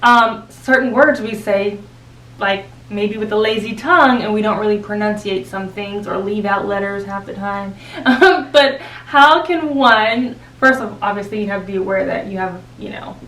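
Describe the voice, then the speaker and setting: A woman's voice: medium pitch, a bit bright. A woman from the Southern United States with a Southern accent, hosting a casual interview in a chatty, affirming way. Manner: chatty, affirming, quick to laugh. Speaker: podcast host. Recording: echoey room, distant from mic.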